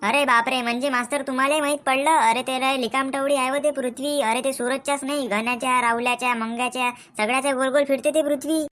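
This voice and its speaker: A woman's voice: high voice, fairly even intonation, fast talker, few pauses, fairly bright tone. A woman voicing a cheeky, lazy schoolboy in a comic cartoon, with a Vidarbha Marathi accent and impudent, wheedling backtalk.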